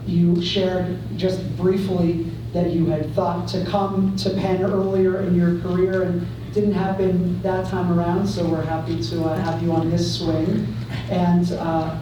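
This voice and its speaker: Woman, fairly low voice, hesitant moderator, lots of uhs, boomy room, far mic, noisy. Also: very echoey. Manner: hesitant, many uhs, welcoming. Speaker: host, moderator. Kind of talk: interview moderation.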